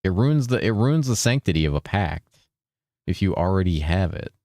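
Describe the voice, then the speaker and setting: A young man streaming a video game, chatting casually with his viewers in a relaxed, rambling way, with a deep voice he holds slightly higher than its natural pitch. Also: normal speed, no room sound. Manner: laid-back, rambling, swears a lot, reads chat aloud. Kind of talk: casual live-stream chat while gaming.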